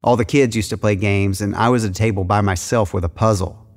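Measured young man reading his life story, as an actor puts him on. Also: fairly low pitch, steady tone, lightly acted. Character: young man recounting his own life, wry and self-aware. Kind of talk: reading from an autobiography.

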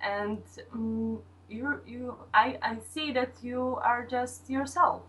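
A young woman with a Polish accent, giving casual interview answers in halting English as she searches for words. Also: fairly high pitch, fairly even intonation, a bit bright, soft background music. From Poland. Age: young adult. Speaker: podcast guest, sex education video maker. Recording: distant mic with faint background noise.

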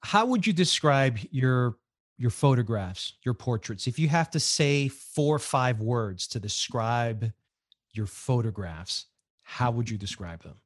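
Man, interviewer, direct questions, average pitch, dry close sound. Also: animated, a little slow, no echo.

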